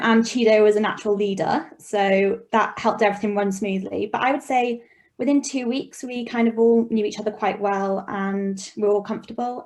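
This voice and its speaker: A woman's voice: medium pitch, a little mellow. A young woman student from Wales speaking in a chatty way with frequent ums over a video call. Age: young adult.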